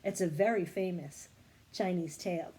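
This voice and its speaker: A woman's voice: fairly low pitch, dark tone, husky. Woman reading as a wise, reassuring storybook mentor. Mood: explaining. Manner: measured, reassuring, explanatory.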